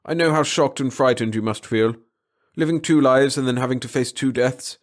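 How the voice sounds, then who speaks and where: A man's voice: mid-range voice, normal speed, voice pushed up, lightly acted. A man voicing an intense middle-aged biologist in an audiobook, formal and insistent. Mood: urgent, formal. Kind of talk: dramatic audiobook character reading.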